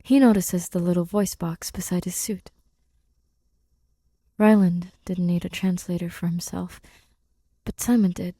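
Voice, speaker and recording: Casting: female audiobook reader, lowish and husky-breathy, subdued, halting, intimate storytelling. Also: steady tone, dark tone, no echo, studio quality. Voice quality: breathy, often near a whisper. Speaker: podfic reader. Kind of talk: scripted fiction narration, intimate and subdued.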